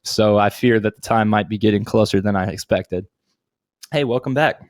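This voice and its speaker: A man's voice: fairly low pitch, moderate pace, no echo, clean studio sound. A man co-hosting a podcast, bantering casually in slangy, sweary talk. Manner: profane, slangy. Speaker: podcast co-host. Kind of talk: casual chat with comedic banter.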